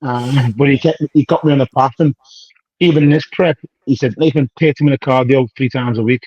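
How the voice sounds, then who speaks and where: Slangy British-raised bodybuilder, dry lo-fi. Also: male voice, medium pitch, fairly even intonation, brisk, slightly rough, dry recording. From United Kingdom. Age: 30s. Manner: rambling, slangy, acts out quoted dialogue. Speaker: professional bodybuilder guest. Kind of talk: casual interview chat.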